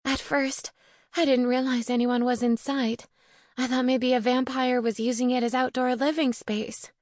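Woman voicing a frightened young witch, higher pitched. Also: little pitch movement, normal speed, a little mellow, no room sound, clean studio sound, lightly acted. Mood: shaken. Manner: earnest, anxious.

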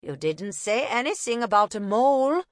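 A woman voicing an impatient, out-of-breath male police officer who is gruff and exasperated. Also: on the high side, very animated, moderate pace, dry recording, studio quality, pitched above the performer's own voice.

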